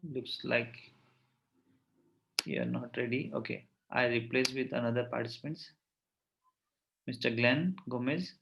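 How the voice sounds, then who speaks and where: A man's voice: mid-range voice, fairly even intonation, unhurried, creaky, long pauses, fairly bright tone, slight background noise. A man running an online workshop, calling on participants one by one and thanking each in short, repeated phrases. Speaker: workshop trainer.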